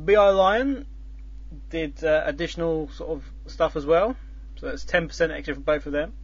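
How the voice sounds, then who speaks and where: A man's voice: fairly high pitch, moderate pace, husky. Rambling man with a dull lo-fi sound. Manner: rambling, many fillers and restarts, teasing. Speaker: host. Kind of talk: casual chat explaining a contest's scoring.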